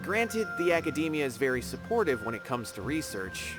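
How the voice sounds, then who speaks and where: An actor voicing a polite, gentle male scholar in a video game, courteous and explanatory. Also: fairly high pitch, very animated, a little fast. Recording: game music behind.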